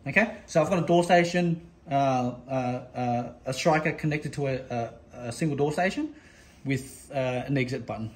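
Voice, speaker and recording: Halting man demonstrating in an echoey room. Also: mid-range voice. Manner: halting, repetitive, addressing viewers as guys. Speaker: product demonstrator. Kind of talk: unscripted product demo. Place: indoor room, desk demo. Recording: echoey room with background noise.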